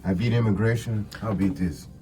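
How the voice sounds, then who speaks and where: A man's voice: lowish voice, brisk, distant mic, music in the background, lightly acted. A man voicing a defiant, aggrieved murder suspect who protests in an ASMR crime roleplay. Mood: defiant, complaining.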